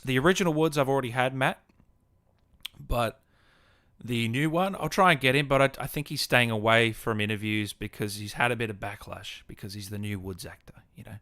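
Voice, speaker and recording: A man's voice: medium pitch, fairly quick, a bit bright, no room sound. A man with an Australian accent chatting casually on a gaming livestream, informally addressing viewers like mates. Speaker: gaming streamer and host. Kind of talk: casual livestream chat, answering viewers' comments.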